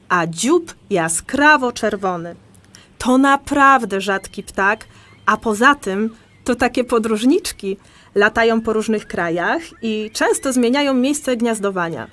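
An actress playing a patient, enthusiastic amateur birdwatcher who explains things in a conversational audio drama. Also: female voice, on the high side, expressive, measured pace, a bit bright. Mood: enthusiastic, informative.

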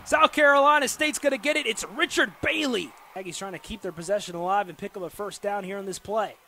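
An American man calling live football play-by-play on the radio with excitable, dramatic delivery. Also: sits high, fast, creaky. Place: football stadium during a live game. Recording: noisy live stadium broadcast.

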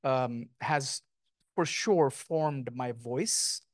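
A middle-aged man, a guest author, speaking thoughtfully and lyrically with many pauses at an onstage conversation, on a handheld mic. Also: medium pitch, no room sound, studio quality. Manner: thoughtful, lyrical, with frequent ums. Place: small stage before an audience, indoors.

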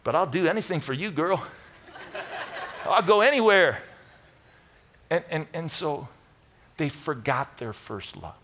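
A middle-aged American man preaching a conversational sermon, emphatic and animated, moving between loud bursts and hushed asides. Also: a little high, a little slow, pauses often, slight background noise. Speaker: pastor preaching. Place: large church auditorium stage with audience.

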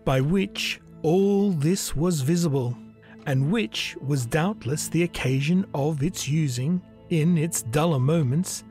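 A man narrating a literary story as a scripted reading, measured and deliberate. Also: on the high side, mellow. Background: music.

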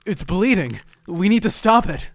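A woman's voice: lowish voice, lively intonation, drawn-out words, no room sound, heavily acted. A woman playing a timid, worried young boy in a fan dub.

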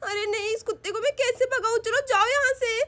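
Lady voicing a squeaky scared cartoon girl, shrieks and whimpers, super high. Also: fairly even intonation, a little fast, pushed and bright. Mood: frightened. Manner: shrieking, tearful. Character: young woman bitten by a dog, frightened.

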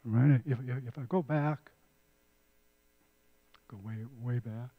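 A man's voice: medium pitch, slow pace, dry recording, narrow, tinny audio. An older man giving a casual community lecture on a handheld mic, often repeating and restarting words. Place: lecture room.

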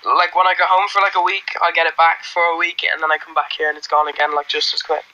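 Young irish-born guy on a phone livestream, accent mostly gone, bright and kinda high, lots of ums, just chatting in a little room. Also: fast, dull audio. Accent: faint Irish, mostly worn off. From Ireland. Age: young adult. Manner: rambling, relaxed, many fillers and restarts. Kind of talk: casual livestream chat reading comments. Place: small indoor room. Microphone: phone held close.

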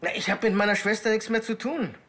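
An actor playing a surly, indifferent layabout who has just woken, grumbling in an offhand way. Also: male voice, high voice, fairly even intonation, fast, a bit bright.

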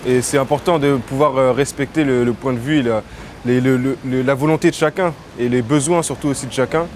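A man's voice: mid-range voice, steady tone, brisk, a little mellow, slight background noise. A man answering a street interview outdoors near a car park, hesitant with many fillers and restarts. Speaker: passer-by interviewed.

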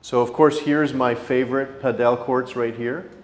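A man in his forties hosting a relaxed property tour, asking questions and affirming what he hears. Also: mid-range voice, normal speed, soft background music. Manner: easygoing, asks questions, affirming fillers. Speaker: real estate host. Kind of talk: conversational property tour interview. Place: show apartment kitchen, indoors.

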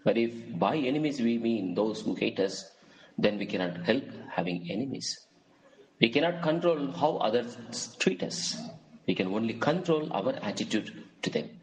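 A man reading a gospel passage and then preaching a homily, earnest and measured, posing rhetorical questions. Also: mid-range voice, animated, a little hiss or hum, rough recording.

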